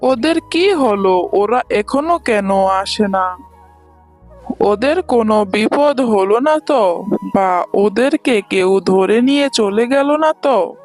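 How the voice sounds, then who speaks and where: A woman's voice: medium pitch, expressive, takes it slowly, darkish tone. A woman playing a poor, lonely, doting old village woman in a children's cartoon, speaking plaintively. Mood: worried. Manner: plaintive, lamenting. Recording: music behind the voice.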